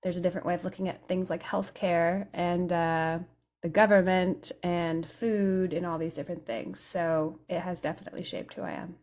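A woman who grew up in Europe, talking casually as an interview guest, explaining things reflectively with thinking pauses. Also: lowish voice, steady tone, darkish tone. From Europe: Italy, England and France.

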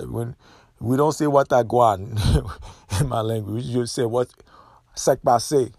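A man's voice: mid-range voice, darkish tone, no room sound. Man, solo podcast host, emphatic and repetitive, dry close sound. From Haiti. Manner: opinionated, emphatic, repeats himself.

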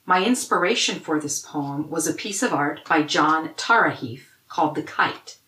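A woman who is a poet, reading her own prepared words in a measured way. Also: low-pitched, expressive, bright, forceful tone, echoey room, rough recording. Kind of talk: measured scripted poetry reading.

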